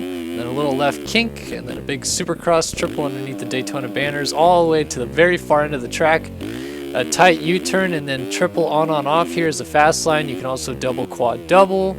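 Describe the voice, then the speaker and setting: Hoarse young man, gravelly casual talk. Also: on the high side, a little fast, over music. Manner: casual, chatty, apologetic, upbeat. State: hoarse, raspy from losing his voice. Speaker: host. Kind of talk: casual game track walkthrough commentary.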